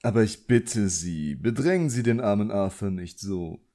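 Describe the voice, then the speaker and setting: A man's voice: fairly low pitch, lively intonation, moderate pace, mellow, close to an everyday voice. A man voicing an elegant, condescending villain sorcerer in an audiobook, suave and politely commanding. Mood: placating. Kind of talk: audiobook character acting.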